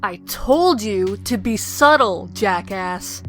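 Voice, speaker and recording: A woman's voice: medium pitch, lively intonation, slow pace, fairly bright tone, faint music behind. A woman playing a bossy, irritable female schemer in a comic dub, with a scornful, snapping manner. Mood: irritated.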